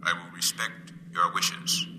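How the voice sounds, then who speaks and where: A male actor playing a cold, formal alien captor with stiff, measured speech in a 1950s radio drama. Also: noisy background, lightly acted. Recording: old radio, humming, long reverb.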